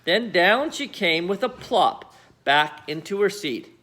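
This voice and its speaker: Animated, high-voiced man reading a children's story. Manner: dramatic, emphatic phrasing with deliberate pauses.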